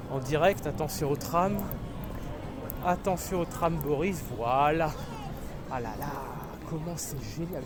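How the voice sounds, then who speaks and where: Young male street vlogger, slightly high voice, rambling slangy chat, loud traffic behind. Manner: chatty, rambling, crude slang. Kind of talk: casual walking vlog. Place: city streets outdoors. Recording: outdoor street noise. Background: traffic and street noise.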